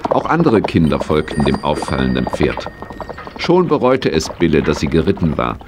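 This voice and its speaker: A male storyteller narrates a children's audio play. His voice is deep with lively intonation, on a slightly noisy older recording. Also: normal speed. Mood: narrating.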